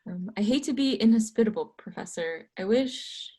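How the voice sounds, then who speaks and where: A woman reading the part of a cautious older male physician and astronomer, hesitant and gently apologetic, in an amateur readers theatre. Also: on the high side, animated, moderate pace, slightly rough. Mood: apologetic, reluctant.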